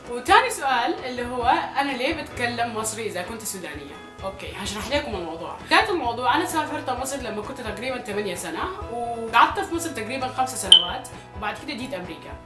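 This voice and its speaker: A young Sudanese woman vlogging casually to her viewers, speaking with a Sudanese Arabic accent with some Egyptian traces and a slightly hoarse voice, often repeating phrases for emphasis. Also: fairly high pitch, sharp tone, reverberant. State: slightly hoarse from recent illness. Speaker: YouTuber. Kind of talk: casual vlog talk to viewers.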